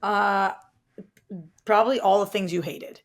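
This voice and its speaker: A woman's voice: mid-range voice, steady tone, a little slow. A woman co-hosting a podcast, bantering casually and readily questioning her partner. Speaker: podcast co-host.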